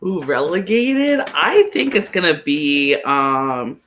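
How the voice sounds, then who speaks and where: Casual football pundit, mid-pitched voice, thinks out loud and qualifies opinions in relaxed podcast conversation. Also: takes it slowly, slight background noise. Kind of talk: casual sports podcast discussion.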